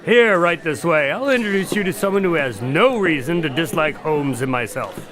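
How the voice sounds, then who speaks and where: A man's voice: fairly high pitch, expressive, moderate pace, a bit bright. A man acting a genial, courteous doctor hosting a party in a radio drama, polite and a bit fussy. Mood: polite, reassuring.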